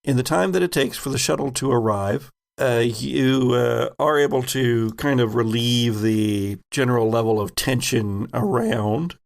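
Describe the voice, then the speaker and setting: A man working as game master, narrating improvised tabletop scenes in a measured, halting, descriptive way. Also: medium pitch, gravelly.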